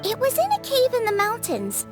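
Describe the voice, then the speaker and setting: Bubbly, high-pitched teen girl cook. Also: huge pitch swings, normal speed, soft background music, exaggerated voice. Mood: chatty. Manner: bubbly, chatty, eager. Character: cheerful, friendly teenage girl cook. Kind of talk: scripted game voice acting.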